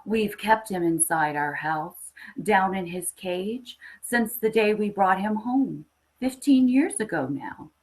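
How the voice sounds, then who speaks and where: A woman voicing a soft-spoken, secretive elderly man in a horror story reading, with halting, measured phrasing. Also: mid-range voice, lively intonation, poor audio. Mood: wistful.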